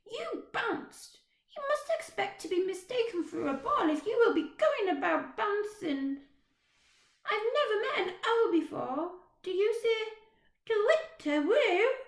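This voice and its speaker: A woman's voice: a little slow, heavily acted. A woman doing a bossy chatty little girl. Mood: bossy chatter. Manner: high, excited, know-it-all. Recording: roomy echo.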